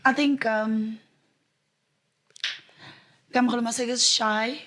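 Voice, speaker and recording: A young woman with a South African accent, a netball player, talking about herself in a relaxed interview. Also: on the high side, a little slow. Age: young adult. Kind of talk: casual interview answers. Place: studio.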